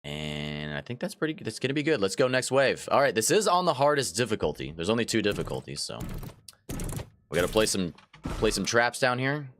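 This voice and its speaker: A man's voice: fairly low pitch, sing-song, a little fast, a bit bright, slight background noise. Chatty man with a stuffy nose. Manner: casual, chatty, addresses viewers as guys. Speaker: gaming channel host. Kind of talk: let's play commentary. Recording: faint game music behind.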